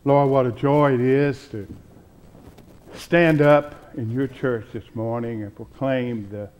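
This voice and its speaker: A man in his sixties preaching as a pastor in a church, speaking deliberately in short phrases with pauses between them. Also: mid-range voice, fairly even intonation, darkish tone, clean tone. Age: 60s. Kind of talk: sermon and spoken prayer. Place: church sanctuary, at the pulpit.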